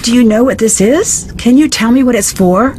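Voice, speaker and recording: A woman playing an inquisitive, earnest young detective in a video game, speaking politely and clearly. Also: fairly high pitch, moderate pace, a little mellow, a little hiss or hum, close to an everyday voice. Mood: inquiring.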